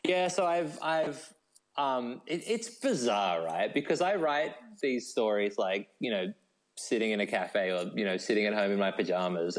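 A man talks casually as an interview guest. He feels his way through his sentences, rambling a little and playing himself down. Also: lowish voice. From Australia. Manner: hesitant, rambling, self-deprecating. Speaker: guest, novelist. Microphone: phone call.

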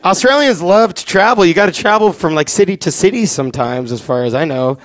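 High-voiced joking man hosting live. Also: lively intonation, brisk, a bit bright. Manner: jokey, sarcastic. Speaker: podcast host. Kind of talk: casual joking chat with a live audience. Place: room with a live audience.

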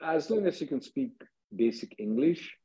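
A man hosting a conference call as an immigration attorney, answering callers with brisk, probing questions and often repeating his phrases. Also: a little high, big pitch swings, dark tone. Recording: dull lo-fi conference call audio.

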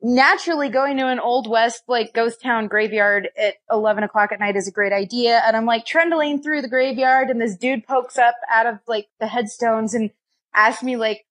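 Young woman, podcast guest, chatty storytelling, frequent laughs, slightly high voice. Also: normal speed, fairly bright tone. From raised in many countries, partly Scotland and Nevada. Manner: chatty, laughs often, acts out her own past self-talk. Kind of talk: casual storytelling.

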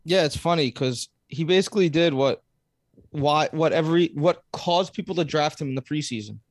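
Male co-host, fairly high voice, teasing storyteller, dry sound. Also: normal speed, dry recording. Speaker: podcast co-host. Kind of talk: casual fantasy sports chat.

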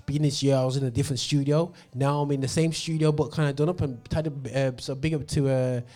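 A man's voice: medium pitch, steady tone, quick pace, mellow, soft background music. A man hosting a gospel radio show, ranting passionately with lots of fillers, recorded in a studio. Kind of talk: opinionated radio monologue.

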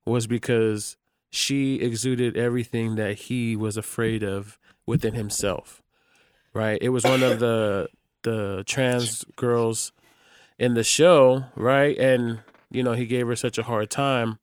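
A man's voice: mid-range voice, steady tone, takes it slowly, clean tone, no echo. A man hosting a podcast in casual chat, speaking with slangy emphasis and often checking that listeners agree. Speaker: podcast host.

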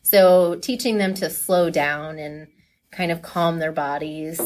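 A woman talking casually and enthusiastically as a coach being interviewed, chatty and quick to laugh. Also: low-pitched, steady tone, a little mellow.

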